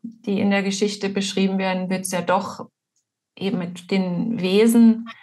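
A woman in her forties with a husky voice, talking casually as a guest on a video-call interview. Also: mid-range voice, steady tone, unhurried, a little mellow. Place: indoor room, video call.

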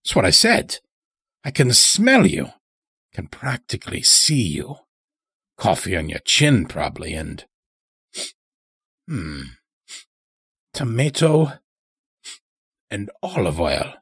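Male narrator as old werewolf elder: folksy, sly, tired, husky, swooping delivery. Horror audio story. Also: on the low side, wildly swooping pitch, slow, pauses often, fairly bright tone, dry recording, clean studio sound, full character voice. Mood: wry, weary. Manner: folksy, rustic, ungrammatical drawl.

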